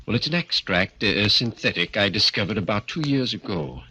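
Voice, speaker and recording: An actor playing an earnest, persuasive research doctor in a 1940s radio drama, polite and measured. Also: male voice, lowish voice, sing-song, pushed and bright, slightly rough, heavily acted, poor audio.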